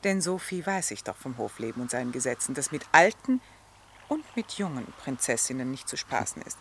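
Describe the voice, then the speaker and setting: An actress playing a principled, stern middle-aged countess in a literary radio drama, with formal, pointed diction. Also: female voice, a bass voice, big pitch swings, a little fast, a little hiss or hum. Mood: firm.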